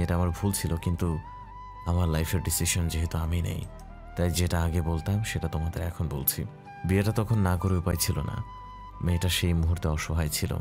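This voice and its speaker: Very deep-voiced, composed elder brother played by a man. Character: calm, dutiful head-of-family elder brother explaining his marriage. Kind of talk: Bengali audio story acting. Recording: music clearly playing behind.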